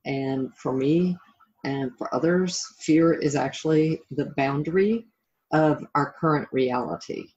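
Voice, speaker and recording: Husky low-fidelity middle-aged American woman. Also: measured pace, darkish tone, muffled recording. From southern United States (Florida). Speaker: interview guest, life coach. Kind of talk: reflective interview talk about own life.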